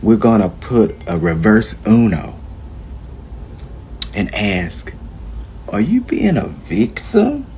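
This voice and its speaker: Man, low voice, slow and swooping, sarcastic reaction, faint background noise. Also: wildly swooping pitch, warm tone, lo-fi sound. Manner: sarcastic, mocking, drawn out. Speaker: video reactor.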